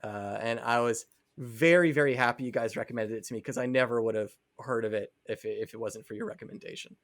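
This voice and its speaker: A man co-hosts a casual podcast in a mid-pitched voice. He rambles conversationally, leaning on filler words as he goes. The sound is dry and close. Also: fast talker, dry recording. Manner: rambling, filler-heavy conversational delivery with frequent "like". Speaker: podcast co-host. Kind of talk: casual chat.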